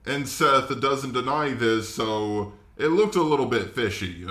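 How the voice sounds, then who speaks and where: A man's voice: medium pitch, expressive, a little slow, fairly bright tone. A man giving casual reaction commentary. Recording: roomy, echoey voice recording.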